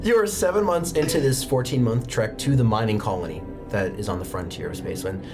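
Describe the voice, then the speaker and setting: A man runs a tabletop game as game master, narrating scenes in a steady, descriptive voice of middling pitch. Music plays clearly behind him. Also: a little fast. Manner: descriptive, steady, with occasional fillers.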